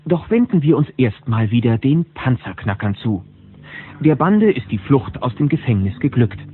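A man's voice: medium pitch, moderate pace, narrow, tinny audio, natural voice. A man narrating a children's audio drama as a storyteller, speaking to the listeners from a script. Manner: animated storyteller addressing listeners. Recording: band-limited old cassette with music.

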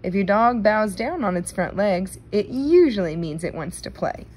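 A woman reading a children's nonfiction book aloud in a careful, deliberate manner. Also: medium pitch, lively intonation, a little mellow, slight background noise. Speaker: read-aloud reader.

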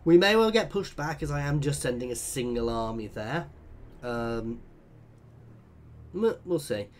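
A man's voice: mid-range voice, expressive, measured pace, a little hiss or hum. A man with a bunged-up cold, chatting and thinking aloud as he commentates his own strategy game playthrough. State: congested from a cold. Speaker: let's play host.

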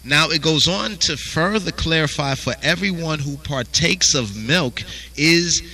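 A man's voice: a little high, expressive, a little slow, bright, forceful tone, rough recording. A man teaching a Bible study, reading verses aloud and asking "you understand?" after nearly every phrase. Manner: repeats "you understand?" constantly.

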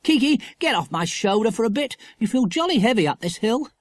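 Man playing an excitable, high-pitched eager schoolboy. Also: brisk, no room sound. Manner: exclaiming, enthusiastic. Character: excitable eager schoolboy in a children's adventure story.

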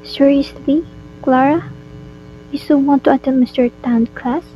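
A woman's voice: high voice, fairly even intonation, a little slow, dark tone, soft background music, poor audio. A woman playing a brazen, taunting teenage schoolgirl in an amateur radio drama.

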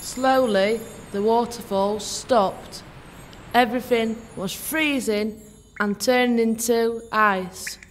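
A woman's voice: a little high, slow pace, slight background noise, rough recording. A woman narrating a scripted children's story as the narrator.

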